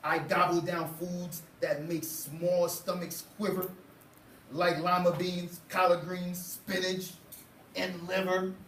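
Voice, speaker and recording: Man reading as a greedy storybook monster, boastful, demanding, slow rhyming delivery, distant and roomy. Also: sits high, flat delivery, a bit bright. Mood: boastful, bargaining. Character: bargaining, gluttonous pea-eating storybook monster. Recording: roomy, distant mic, faint noise.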